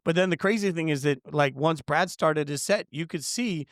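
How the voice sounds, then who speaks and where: Animated male podcast guest, fairly high voice. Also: normal speed, no room sound, studio quality. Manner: animated storyteller, lots of fillers. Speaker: sportswriter guest. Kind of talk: casual interview storytelling.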